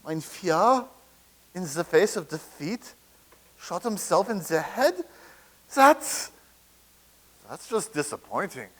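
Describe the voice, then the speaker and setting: A man's voice: sits high, very animated, slow pace, long pauses, fairly bright tone, full character voice. A man reading the part of a flustered soldier from a past war in a staged comedy reading, stammering with nervous restarts.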